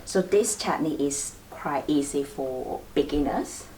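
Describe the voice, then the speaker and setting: A woman's voice: sits low, animated, a little slow, warm tone. A woman acupuncturist hosting a clinic video, patiently explaining needles step by step with light laughs. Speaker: acupuncturist and host. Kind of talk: explanatory demonstration. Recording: distant mic, faint room noise.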